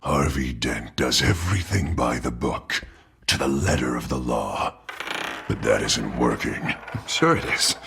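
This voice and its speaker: An actor playing a troubled American city mayor with a split, volatile personality in a video game, his voice husky and strained. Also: a bass voice, expressive, measured pace, a bit bright, exaggerated voice. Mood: bitter, menacing.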